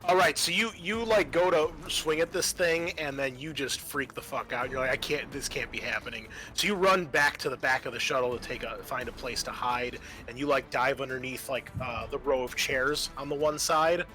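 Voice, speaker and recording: Guy game mastering a tabletop rpg, bright voice, makes it up as he goes, stop and start, bit sweary, faint music behind. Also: medium pitch. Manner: halting, colloquial, swearing. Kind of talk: improvised tabletop game narration.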